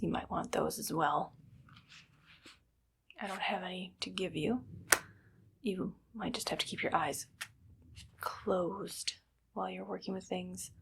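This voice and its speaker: A woman playing a menacing, condescending evil doctor in a soft, whispered ASMR roleplay, speaking close to the mic with deliberate phrasing. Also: lowish voice, creaky, slight background noise. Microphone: close ASMR microphone.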